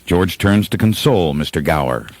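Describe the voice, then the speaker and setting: A man's voice: low-pitched, animated, moderate pace. A man narrating a comedic radio play from a script.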